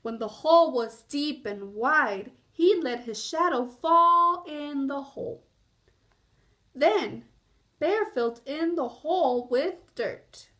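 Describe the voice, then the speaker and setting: Female children's story reader, high and very animated, explanatory, unhurried. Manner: explanatory, asks listeners questions. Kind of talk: read-aloud storytelling for young children.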